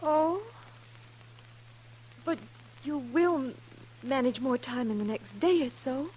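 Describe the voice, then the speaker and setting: A woman's voice: sits high, animated, slow pace, mellow. An actress playing an earnest, anxious, sheltered young woman in a 1950s radio western, polite and given to exclaiming. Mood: puzzled, disappointed. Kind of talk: 1950s radio drama acting. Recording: humming, noisy 1950s radio recording.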